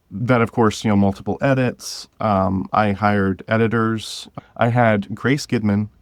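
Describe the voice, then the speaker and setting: A man's voice: lowish voice, no room sound, clean studio sound. A man talking casually as an interview guest, thinking aloud and correcting himself as he goes. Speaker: guest, independent audio drama creator.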